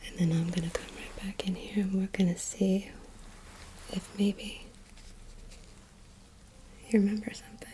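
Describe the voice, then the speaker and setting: A woman playing a calm, controlling, hypnotic interrogator in a noir ASMR roleplay, giving soft, coaxing, patient instructions. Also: medium pitch, moderate pace, long pauses, lightly acted, rough recording.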